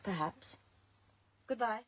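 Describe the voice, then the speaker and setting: An actress playing a feisty, guarded young British woman motorist in a radio play, brisk, clipped and haughty. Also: mid-range voice, animated. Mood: cool, guarded. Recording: radio drama with music behind.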